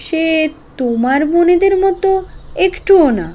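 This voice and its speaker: A lively young sister in an audio drama. She teases playfully in a high, girlish register, her pitch leaping about as she talks. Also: measured pace. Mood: teasing, amused. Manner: playful, animated. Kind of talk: literary audio drama acting.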